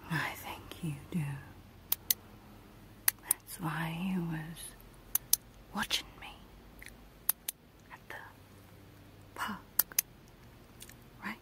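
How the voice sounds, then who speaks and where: A woman voicing a sinister, coaxing evil clown dentist in a breathy ASMR horror roleplay whisper, slow and menacing. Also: sits low, fairly even intonation. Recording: noisy close-up home recording.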